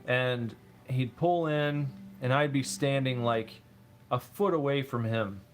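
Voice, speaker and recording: A man's voice: mid-range voice, takes it slowly. A man hosting a casual building stream, laid-back, telling stories and reading chat aloud. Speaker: streamer host.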